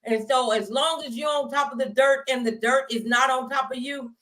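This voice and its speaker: High-voiced woman hosting a talk show. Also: steady tone, moderate pace, sharp tone. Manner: effusive, encouraging, colloquial. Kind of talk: upbeat talk show interview.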